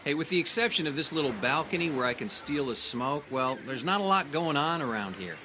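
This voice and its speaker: Wry, higher-voiced lab newcomer. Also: male voice, animated, brisk, sharp tone, a little hiss or hum. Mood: wry, probing. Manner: wry, casual, sarcastic. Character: discontented, wry male newcomer at a research lab. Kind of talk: naturalistic audio drama acting.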